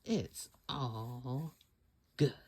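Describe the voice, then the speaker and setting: A woman hosting a children's story time, reading a picture book aloud in a theatrical, deliberate way with exclamations. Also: rumbling low, noisy background. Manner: slow, deliberate phrasing for young children. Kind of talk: animated read-aloud picture book storytelling with sung refrains.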